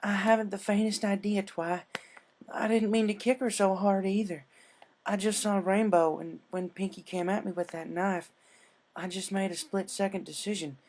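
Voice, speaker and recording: A man voicing a shaken, guilt-ridden young female farm pony, halting and heavy-hearted in manner. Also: sits high, little pitch movement, a little mellow, voice pushed up. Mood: shaken, regretful.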